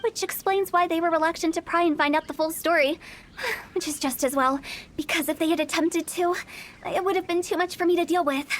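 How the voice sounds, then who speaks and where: A woman's voice: very high-pitched, steady tone, fairly quick, a little hiss or hum. A young woman voicing a playful, mischievous female funeral director in a video game, teasing and mock-spooky.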